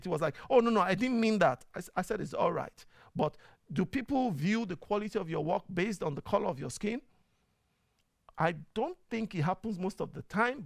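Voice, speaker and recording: Gravelly-voiced Nigerian man hosting. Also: sits high, no room sound, clean studio sound. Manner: chatty, with many fillers. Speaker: host. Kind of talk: casual live-stream talk.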